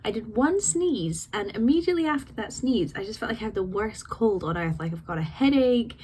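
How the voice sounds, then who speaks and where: A woman's voice: fairly high pitch, lively intonation, fairly quick, a little mellow, slight background noise, low-quality recording. Chatty young woman with a sniffly cold. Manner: chatty, rambling, laughs often. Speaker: podcast host. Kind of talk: casual unscripted chat.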